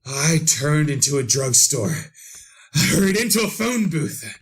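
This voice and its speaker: An actor playing an excitable, power-hungry madman in a halting, melodramatic 1930s radio drama style. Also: fairly high pitch. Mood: agitated, gleeful. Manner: melodramatic, halting, breathless exclamations. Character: excitable, power-hungry madman narrating his eerie tale.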